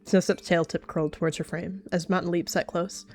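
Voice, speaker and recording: A woman's voice: lowish voice, flat delivery, a little fast, darkish tone, faint music behind. A woman narrating a cat roleplay story and speaking her character's lines in quotes.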